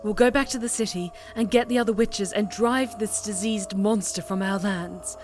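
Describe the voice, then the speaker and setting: A woman's voice: medium pitch, steady tone, moderate pace, close to an everyday voice. A woman playing a proud, betrayed witch mentor in a scripted fantasy roleplay, dramatic and anguished as she pleads or rebukes. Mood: anguished, pleading. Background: background music.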